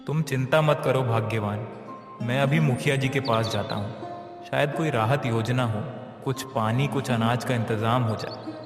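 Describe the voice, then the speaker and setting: A man acts out a worried, humble village husband from a cartoon moral tale. He speaks plaintively and respectfully at a medium pitch. His voice rings with long reverb over clear background music. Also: moderate pace, natural voice. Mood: concerned. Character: poor, worried village farmer husband Murli, humble and pleading. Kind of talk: Hindi cartoon moral story dialogue.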